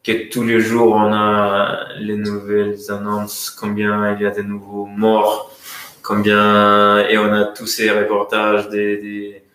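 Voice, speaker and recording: A man from Russia with a Russian accent speaks French as a guest economist at a conference, often pausing to search for words. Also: on the low side, monotone, a bit bright. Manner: hesitant, searching for words, many fillers and restarts. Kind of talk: conference talk. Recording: very echoey room.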